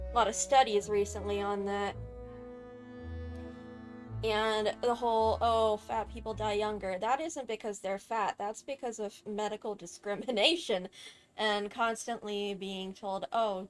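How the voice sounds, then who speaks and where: A woman giving casual let's-play commentary, informal, halting and opinionated. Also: medium pitch. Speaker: game streamer.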